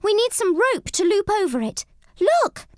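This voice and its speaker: A woman's voice: very high voice, sing-song, a little slow, dry recording, clean studio sound, pitched above the performer's own voice, full character voice. A woman voicing a spirited, strong-willed young girl mouse in a storybook, emphatic and indignant. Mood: desperate.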